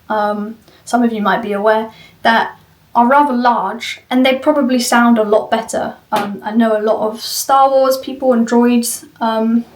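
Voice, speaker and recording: A woman giving an informal walkthrough of something she built, rambling in a candid, self-deprecating way. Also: a little high. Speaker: maker presenting a cosplay prop she built. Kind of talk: informal video walkthrough and review of a build. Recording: roomy echo, far from the mic.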